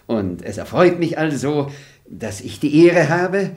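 A man's voice: a little high, sing-song, measured pace, darkish tone. An actor playing a crude, scheming young male robber who fawns and laughs in an old radio play. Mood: fawning. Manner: crude, sly, fawning, laughs often. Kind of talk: 1960s radio play acting.

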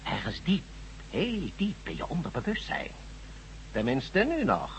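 A man acting in a radio drama as a smug, menacing god posing as a doctor, deliberate and condescending. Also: fairly high pitch, wildly swooping pitch, a little hiss or hum, heavily acted. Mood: quiet menace.

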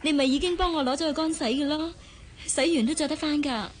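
An actress with a Hong Kong Cantonese accent playing a kind, modest young secretary in a radio drama. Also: female voice, sits high, fast, slight background noise, lightly acted. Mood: polite, modest.

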